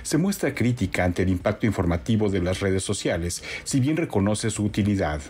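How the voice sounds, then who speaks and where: Fast, husky Mexican man reporting news. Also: fairly low pitch, expressive, a little mellow. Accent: Mexican Spanish. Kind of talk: scripted news narration.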